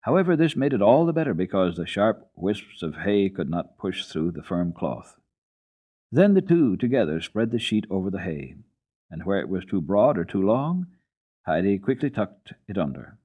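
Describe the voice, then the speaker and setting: Deep, husky male storyteller, old radio. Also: very animated, moderate pace, warm tone. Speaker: storyteller, radio program host. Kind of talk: dramatic reading of a children's book. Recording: muffled, lo-fi archival radio recording.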